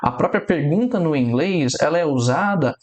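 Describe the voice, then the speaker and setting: A man's voice: a little high, expressive. Brazilian man teaching aviation English. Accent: Brazilian Portuguese, no fixed regional accent. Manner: explains steadily, quotes radio phrases slowly and deliberately. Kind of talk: instructional explanation.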